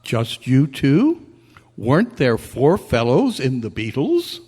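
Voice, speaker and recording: A formal, old-fashioned colonel played by a man speaks slowly in a husky voice with lively swings of pitch. It is radio-play acting in an echoey hall. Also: heavily acted. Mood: puzzled, courteous. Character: stiff, puzzled but courteous military colonel. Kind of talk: old-time radio style stage acting. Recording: echoey hall at a club meeting.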